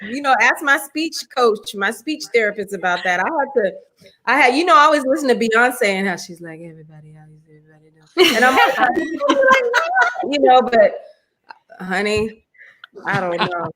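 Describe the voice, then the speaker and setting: Woman, low alto voice, bubbly, laughs a lot, casual video call chat. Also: big pitch swings, moderate pace, a bit bright, low-quality recording. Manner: bubbly, laughs often, says honey and y'all. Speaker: guest performer.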